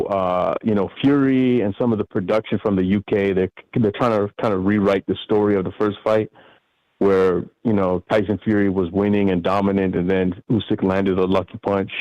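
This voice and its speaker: Man, caller, low voice, hesitant, ums, muffled lo-fi line. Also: little pitch movement, normal speed, darkish tone, no echo. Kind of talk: call-in prediction. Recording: dull lo-fi call audio.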